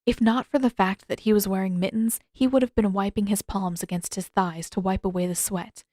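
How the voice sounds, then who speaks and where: A woman's voice: mid-range voice, steady tone, fairly quick, dark tone, no room sound, studio quality. A woman reading a story aloud as scripted narration. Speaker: podfic narrator and author.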